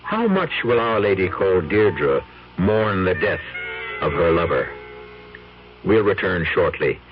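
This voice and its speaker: A man hosting a radio mystery drama, reciting Shakespeare in a measured, theatrical declamation from an old broadcast. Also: low-pitched, big pitch swings, dull audio, music in the background.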